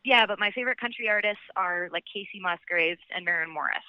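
A woman's voice: medium pitch, fast, clear, resonant tone, no room sound, muffled recording. A Canadian woman chatting casually as an interview guest, in an informal, chatty manner. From Alberta, Canada. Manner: chatty, informal, frequent "like" and "you know". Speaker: country singer-songwriter, podcast guest.

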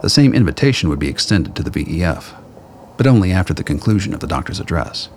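Deep-voiced male narrator of audio drama. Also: very animated, quick pace, a little mellow, slight background noise.

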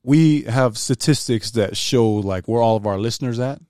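A man's voice: medium pitch, moderate pace, darkish tone, clean tone, no room sound, clean studio sound. A man from Utah hosting a podcast in casual, joking banter with his co-hosts. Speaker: podcast host. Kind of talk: casual chat.

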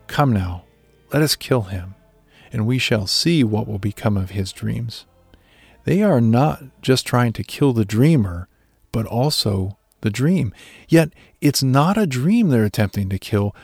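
Male devotional speaker, gravelly mid-pitched voice, scripted reflection, dry recording. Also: darkish tone, no room sound.

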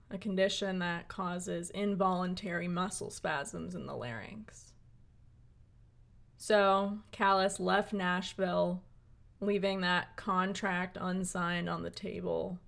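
A woman narrating a documentary story in an even, measured way. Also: on the low side, vocal fry.

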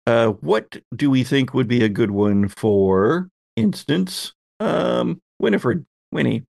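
A man's voice: medium pitch, lively intonation, slow pace, creaky, darkish tone, no echo, clean studio sound. A man hosting a tabletop roleplaying game as game master, narrating casually in an easygoing, chatty way.

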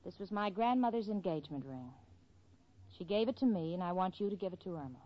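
An actress playing a level-headed, wry, protective young roommate in a 1940s radio comedy, brisk and no-nonsense. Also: female voice, on the low side, expressive, close to an everyday voice. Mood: earnest. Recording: muffled 1940s radio broadcast with audience.

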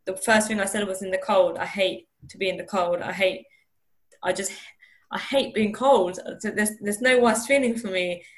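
A woman's voice: mid-range voice, normal speed. A young woman from Iran, talking earnestly in an interview over a video call, often restarting and repeating words. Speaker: interview guest. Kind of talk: candid conversational interview.

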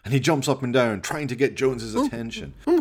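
A man's voice: mid-range voice, sing-song, a little fast, a little mellow, husky. A man reading dramatic scripted narration for a radio play.

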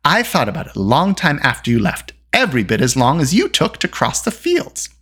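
A storybook reader voicing a spirited young princess who is brisk, indignant and talkative. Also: medium pitch, big pitch swings, fairly bright tone, slightly rough, pitched above the performer's own voice. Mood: defiant, chattering.

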